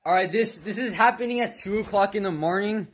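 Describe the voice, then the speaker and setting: A man's voice: sits high, a little fast, husky. A young man chatting casually to the camera for a prank vlog, recorded on a handheld phone inside a house. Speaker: prank video vlogger. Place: room in a house, indoors.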